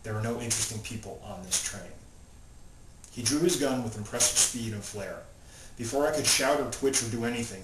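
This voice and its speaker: A male author talking informally and reading his novel aloud in his own plain voice, without putting on character voices. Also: mid-range voice. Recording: roomy, distant mic, loud background noise.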